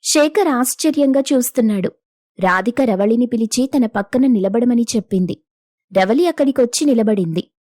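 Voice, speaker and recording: A woman's voice: fairly high pitch, lively intonation, normal speed, warm tone, dry recording, clean studio sound. A woman storyteller reading a scripted moral story as narrator.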